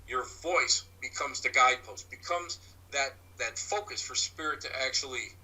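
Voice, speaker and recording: A man with a loud voice, answering interview questions about his paranormal work in a rambling way, full of restarts and fillers. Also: medium pitch, normal speed, low-quality recording. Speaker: paranormal investigator and medium. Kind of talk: interview guest, casual explaining.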